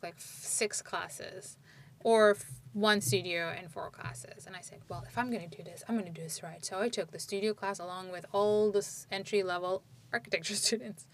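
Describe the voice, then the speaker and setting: A woman's voice: medium pitch, bright, forceful tone. Chatty woman from Turkey in her forties. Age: 40s. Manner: rambling, restarts sentences, frequent "like". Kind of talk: casual conversation.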